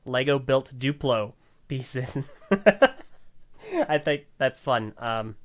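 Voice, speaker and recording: A man's voice: medium pitch, steady tone, slow. A man hosting a casual panel podcast and giving product opinions, often breaking into giggles as he talks. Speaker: podcast host.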